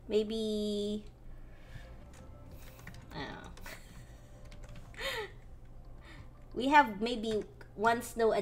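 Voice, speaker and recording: A woman's voice: medium pitch, a little hiss or hum. A woman in her thirties, a native Tagalog speaker, chatting casually on a live stream in a giggly, self-mocking way. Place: home room, indoors. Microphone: headset, webcam framing.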